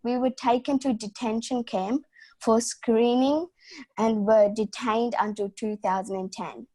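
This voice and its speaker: Young girl from sri lanka reading her story slowly on zoom, higher voice. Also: steady tone, a little mellow. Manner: careful, reading, small slips. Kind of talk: reading a personal story aloud. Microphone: video call.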